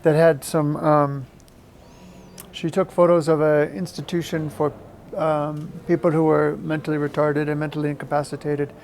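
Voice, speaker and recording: Interviewee: American man in middle age, thoughtful and halting, fairly level melody, faint ambient noise behind. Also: on the high side, level tone, darkish tone. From Maine, United States. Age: middle-aged. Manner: halting, thoughtful, repeats words for emphasis. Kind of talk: reflective interview talk.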